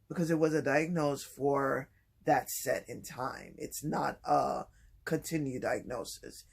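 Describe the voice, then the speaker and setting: A man talking softly and haltingly in a personal vlog, with many pauses and restarts. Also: gravelly, breathy edge. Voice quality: low voice. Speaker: vlogger. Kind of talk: personal vlog, reflective talk.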